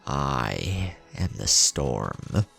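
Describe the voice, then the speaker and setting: A man voicing an angry, defiant young male ninja fighter in a forceful, dramatic story reading. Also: very deep voice, very animated, extremely slow, gravelly, breathy edge, faint music behind, pitched below the performer's own voice, full character voice. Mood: grim triumph.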